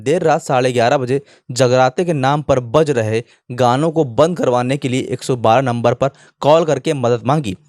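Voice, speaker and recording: A man's voice: medium pitch, darkish tone, no room sound, clean studio sound. A man presenting opinionated online news commentary in an indignant manner, often posing rhetorical questions.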